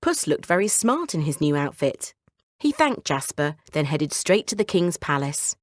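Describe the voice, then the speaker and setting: A woman's voice: sits low, very animated, normal speed, no room sound, studio quality. A woman narrating a scripted bedtime story for children as the storyteller.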